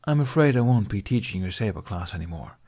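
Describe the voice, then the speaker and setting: A man acts out a weary older male teacher in a storybook reading. He is polite and formal, choosing his words with gentle regret and some hesitation. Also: on the low side, lively intonation, fast talker. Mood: regretful, formal.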